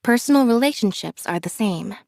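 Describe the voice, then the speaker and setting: A woman voicing a reserved, observant young magician's assistant in a video game, speaking in a calm, measured deadpan. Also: fairly high pitch, gravelly, dry recording, clean studio sound. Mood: calm, detached. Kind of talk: scripted character voice lines.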